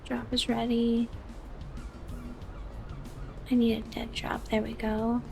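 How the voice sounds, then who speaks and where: A woman with a soft, high voice talking quietly through a gameplay video for sleep, slipping between a hush and a whisper. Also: monotone, slow, gravelly, faint music behind. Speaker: gamer. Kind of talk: soft-spoken ASMR gameplay.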